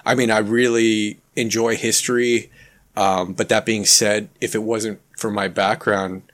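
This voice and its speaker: A man from Canada whose first language was Ukrainian, a guest author talking in a halting, filler-heavy way on a podcast. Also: on the low side, flat delivery, fairly bright tone. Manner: halting, many fillers and restarts. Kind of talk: casual podcast conversation.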